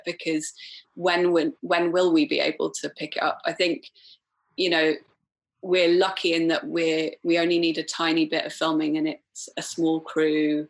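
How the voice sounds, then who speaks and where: Low-voiced woman, chatty. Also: gravelly. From Wales. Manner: chatty, many fillers, frequent laughs. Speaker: film director guest. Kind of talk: casual podcast chat.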